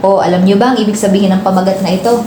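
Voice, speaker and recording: A woman's voice: medium pitch, fairly even intonation, very fast, background noise, lightly acted. A young Filipino woman narrating as a teacher telling a story to her class in a student radio drama. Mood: encouraging. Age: young adult. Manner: expository, prompting pupils with questions. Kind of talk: scripted narration, storytelling lesson. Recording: echoey room with background music.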